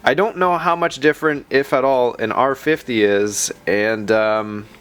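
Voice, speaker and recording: A man's voice: mid-range voice, a little slow, fairly bright tone, clear, resonant tone. A man hosting a tech video in a casual, chatty way, with rambling, self-deprecating asides. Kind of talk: casual vlog narration.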